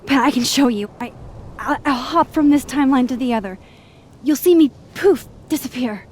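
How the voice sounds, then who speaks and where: Game drama lead, female actor: anxious young woman, high-ish voice, earnest and halting, noisy background. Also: darkish tone, natural voice. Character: earnest, anxious young woman with secret timeline-hopping power. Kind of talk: naturalistic video game drama acting.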